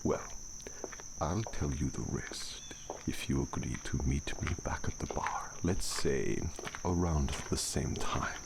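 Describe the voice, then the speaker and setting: A man playing a courteous, brooding vampire count in an ASMR audio drama, speaking formally in a gravelly near-whisper. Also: a bass voice, exaggerated voice. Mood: gentle, courteous. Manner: formal, slow, measured speech. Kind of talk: ASMR roleplay acting. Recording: noisy, night crickets and outdoor ambience.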